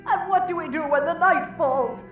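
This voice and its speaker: A woman's voice: very high voice, normal speed, soft background music. A woman playing a fearful, pleading villager in a radio horror play, with a dramatic, questioning delivery. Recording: tinny band-limited audio, distant, echoey.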